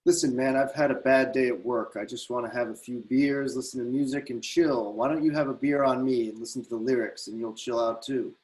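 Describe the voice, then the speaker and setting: A man's voice: medium pitch, steady tone, brisk, few pauses, darkish tone, close to an everyday voice, poor audio. A man voicing a rowdy, defiant man who taunts police officers in a script reading. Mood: pleading. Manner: mocking, belligerent taunts. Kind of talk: readers theatre script reading.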